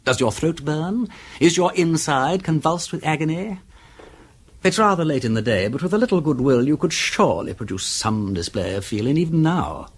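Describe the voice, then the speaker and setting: An actor playing a flippant, witty English aristocrat detective in a radio drama, urbane and drawling, teasing with mock politeness. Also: male voice, fairly high pitch, lively intonation, moderate pace, breathy edge. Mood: mocking, coolly accusing. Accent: upper-class English.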